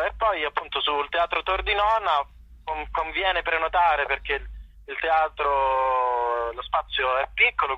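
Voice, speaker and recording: A man chatting casually as an interview guest, halting and repeating words, over a phone call. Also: on the high side, dry recording. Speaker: actor and author, interview guest. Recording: dull dry phone call.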